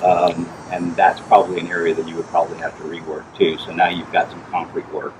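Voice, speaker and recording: A middle-aged man, a pool inspector, explains repairs in a plain, measured way with frequent fillers, outdoors by a backyard pool. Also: low-pitched, completely flat delivery, noisy background. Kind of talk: on-site explanation to camera.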